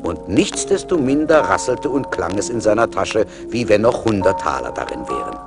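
Casting: male fairy-tale narrator, deep register, lively intonation, radio play. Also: normal speed, music in the background.

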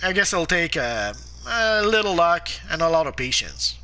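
Man, slightly high voice, sore throat, sniffles, halting, casual game commentary. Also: very animated, pushed and bright. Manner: halting, frequent "uh" fillers and restarts. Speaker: gameplay guide narrator.

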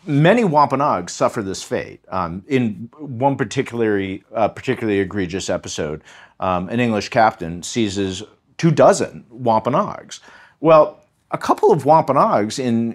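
A man with a mid-pitched, highly animated voice talks like a scholar being interviewed. He explains things articulately and leans on key points with emphasis. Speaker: history professor, podcast guest.

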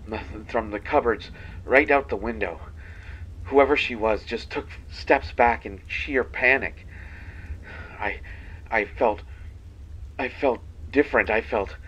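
A man voicing a kindhearted, haunted young banshee in a dramatic monologue, halting between phrases as if confessing. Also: medium pitch, muffled recording, a little hiss or hum. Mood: intense, shaken. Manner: halting, hushed confession with long pauses. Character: kindhearted young male banshee, half-fey, haunted and menacing.

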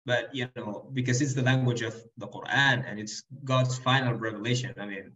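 A man's voice: medium pitch, steady tone, fairly quick, pushed and bright. A young man, a guest Arabic teacher on a video call, talking earnestly and advising viewers, often repeating words as he goes. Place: indoor room. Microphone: desk microphone on video call. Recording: roomy, dull video-call audio.

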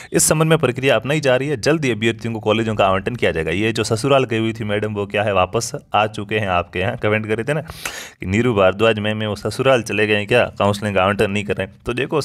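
A man informally explaining education news like a teacher, reading out and commenting, repeating points and addressing viewers directly. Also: on the low side.

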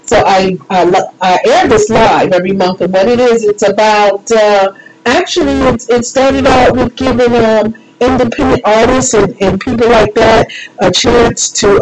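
Female live radio host, mid-pitched, informal and playful, rambling freely with self-deprecating asides. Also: rough recording.

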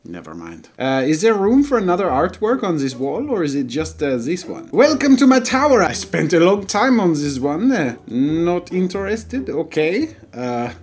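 Theatrical French painter, fake thick accent, high-pitched, swooping delivery, self-mocking, video game commentary. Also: male voice, huge pitch swings, moderate pace, few pauses, a little mellow. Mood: proud, theatrical. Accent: exaggerated fake French. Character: pompous, melodramatic, wine-loving French male artist stuck in tower. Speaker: gaming video host.

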